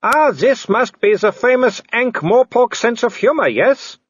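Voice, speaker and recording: A man's voice: very high-pitched, animated, husky, dry recording, lo-fi sound, voice pushed up, full character voice. Male werewolf villain, mocking, cheerfully cruel, raised swooping voice, storybook. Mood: gleeful taunting. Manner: mocking, halting non-native phrasing.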